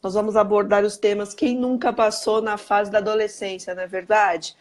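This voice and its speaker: A hoarse woman with a Brazilian Portuguese accent, hosting a live stream in an upbeat way and greeting viewers by name. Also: medium pitch, flat delivery, moderate pace. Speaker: host.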